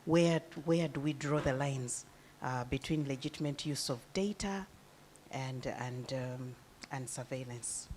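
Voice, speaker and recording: A woman moderating a panel discussion, deliberate and pausing with fillers. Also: very deep voice, a little mellow, slight background noise.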